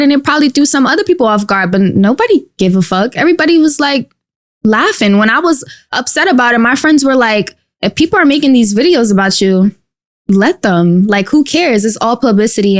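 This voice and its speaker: Young New York woman, twenties, a touch high-pitched, slangy guest chatter into a studio mic. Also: fairly quick. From Queens, New York. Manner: animated, slangy, lots of likes and you-knows. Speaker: guest rapper. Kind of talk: casual podcast interview. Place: small dark podcast studio. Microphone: studio mic on boom arm.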